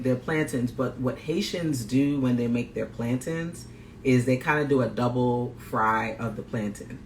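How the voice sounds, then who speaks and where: A man's voice: mid-range voice, a bit bright. Guy from guyana doing a live cooking stream, upbeat, repeats himself, echoey room w faint noise. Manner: upbeat, greets viewers, repeats phrases. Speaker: plant-based chef and health coach. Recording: roomy echo, faint background noise.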